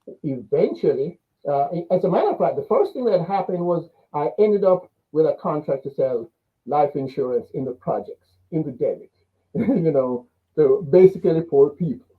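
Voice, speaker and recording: Older Jamaican man, higher voice, muffled phone-like sound. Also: very animated, normal speed. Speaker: interview guest, business coach. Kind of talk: personal storytelling in an interview.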